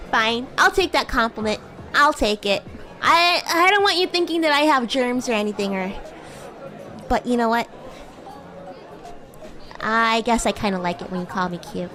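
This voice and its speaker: A woman acts out a bratty young demon girl in a livestream roleplay. Her voice is high and swings animatedly up and down. She is coy and teasing, quick to get defensive, and chats casually over background music. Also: expressive, sharp tone, a faint crowd. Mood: bashful. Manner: teasing, coy, defensive, stammering when flustered. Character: bratty, cute, flustered young female demon classmate. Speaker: VTuber streamer. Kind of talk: improvised VTuber roleplay, casual chat with viewers.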